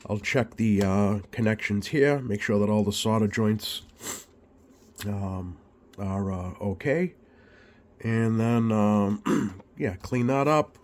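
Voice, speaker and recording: A man with a slightly scratchy voice, getting over a cold, talks informally through a repair demonstration in a hesitant, unhurried way, thinking aloud. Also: fairly low pitch, a little mellow. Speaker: radio repair technician and video host. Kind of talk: informal bench demonstration vlog. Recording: clearly audible background noise.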